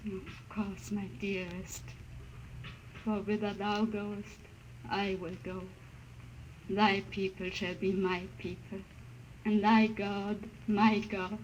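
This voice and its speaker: An actress playing a devoted young wife and explorer, gentle, loving and earnest, in a 1950s radio drama. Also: female voice, medium pitch, little pitch movement, slow, dull audio, natural voice. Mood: tender. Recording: faint hiss of an old radio broadcast.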